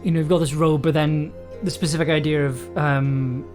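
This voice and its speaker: A streamer sits at a home desk, thinking aloud while typing out a journaling game entry. The speech is hesitant and often trails off into mutters before the drafted lines are reread aloud. Soft music runs quietly underneath, with keys clicking. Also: mid-range voice, steady tone, normal speed. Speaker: streamer and writer. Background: faint music and keyboard typing.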